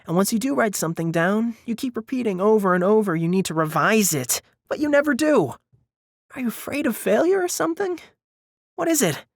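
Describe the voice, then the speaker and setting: A man's voice: high-pitched, big pitch swings, a little fast, creaky, dry recording, clean studio sound, voice pushed up, exaggerated voice. A man voicing a sassy, pushy young woman in audiobook dialogue, blunt and insistent as she pushes her friend. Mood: exasperated.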